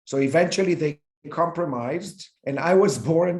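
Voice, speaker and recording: A man in his fifties with an Israeli accent, a guest speaking from home on a video call, halting with many fillers and restarts. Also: fairly high pitch, a little mellow, echoey room. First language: Hebrew. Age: 50s. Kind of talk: remote interview talk.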